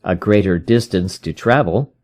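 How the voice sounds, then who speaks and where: A modest, attentive detective's sidekick, read by a man from a storybook. He answers briefly in a subdued, mellow voice pitched well down, sounding a little puzzled as he follows along. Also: moderate pace, lightly acted. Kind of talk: dramatised storybook reading.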